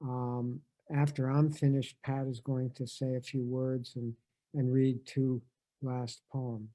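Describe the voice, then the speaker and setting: A man speaking in a measured, deliberate way at a poetry reading held over a video call. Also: mid-range voice, little pitch movement, warm tone, poor audio. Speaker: poet, reader at a tribute event. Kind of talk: informal introduction and poetry reading.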